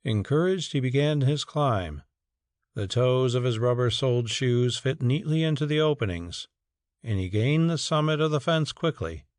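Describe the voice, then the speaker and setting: A man's voice: mid-range voice, expressive, normal speed, a little mellow, dry recording, studio quality. A man narrating a story as a scripted, dramatic audiobook reading.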